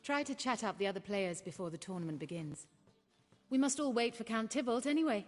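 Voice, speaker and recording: An actress playing a poised, worldly mystery woman in a video game, speaking in a measured, wry and cultured way. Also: a little high, expressive, big-room sound, natural voice. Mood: measured, knowing. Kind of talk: video game dialogue acting. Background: background music.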